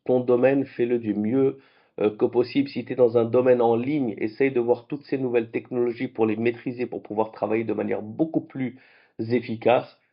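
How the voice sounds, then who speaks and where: A man whose first languages are French and Arabic, hosting a late-night trading vlog in a lively, conversational way. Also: medium pitch, highs cut off. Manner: animated, conversational, with restarts. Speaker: trading channel host.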